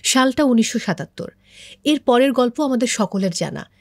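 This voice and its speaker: A woman's voice: a little high, animated, moderate pace, darkish tone, no room sound. Bangladeshi woman interviewer, close dry sound. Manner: mixes English words into Bengali. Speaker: talk show host. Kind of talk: conversational television interview.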